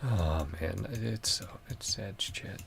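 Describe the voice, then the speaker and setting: A man giving quiet, casual reaction commentary as the host of a gaming video, in a low voice. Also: takes it slowly, vocal fry.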